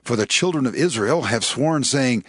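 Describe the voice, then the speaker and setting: An actor playing a stern Israelite spokesman in a Bible drama, declaiming gravely. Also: mid-range voice, animated, normal speed, lightly acted.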